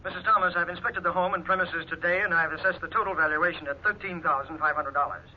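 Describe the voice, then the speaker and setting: An actor playing a stiffly formal bank officer in a 1950s radio drama, clipped and businesslike. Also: male voice, a little high, steady tone, fast talker, natural voice. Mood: formal, firm. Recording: dull, noisy 1950s radio recording.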